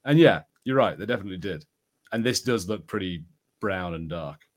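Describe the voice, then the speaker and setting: A man's voice: sits low, expressive, a little fast. A man from the UK co-hosting a podcast, chatting casually and giving his opinions on fantasy film and TV. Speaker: podcast co-host.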